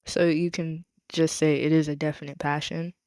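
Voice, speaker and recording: A podcast host from the United States talks in a loose, slangy, upbeat way, her voice catching in a gravelly fry at the ends of phrases. The sound is dry and close, with no room around it. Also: low-pitched, little pitch movement, normal speed, darkish tone, dry recording. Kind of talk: casual interview chat.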